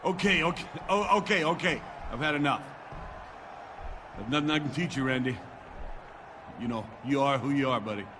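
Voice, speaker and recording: An older man voicing a gruff wrestling legend who gives in, in a game cutscene. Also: mid-range voice, normal speed, pauses often, a crowd in the background, noisy background, lightly acted. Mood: grudging. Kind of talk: video game cutscene dialogue.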